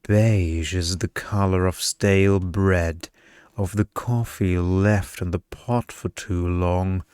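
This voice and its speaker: A man voicing a tediously boring, seductive energy vampire in a monotone, drawn-out, self-serious way for a story. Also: low-pitched, clear, resonant tone, no echo, clean studio sound. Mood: bored musing.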